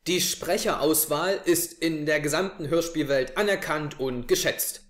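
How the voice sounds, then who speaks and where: A young man presenting a prepared review to camera in a living room. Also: on the high side. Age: young adult. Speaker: host of an audio drama review show. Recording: echoey small room.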